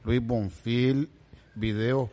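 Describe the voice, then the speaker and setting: A man's voice: medium pitch, steady tone, drawn-out words, warm tone. A man hosting a podcast in Miami, interviewing a guest with joking, teasing banter. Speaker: podcast host. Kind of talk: casual interview chat.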